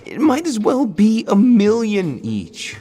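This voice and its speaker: Guy playing a sulky rogue in a radio play, talks slow, very up and down, bit of music. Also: high voice, huge pitch swings, mellow, full character voice. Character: roguish male schemer, sulky and flippant. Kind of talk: audio drama acting.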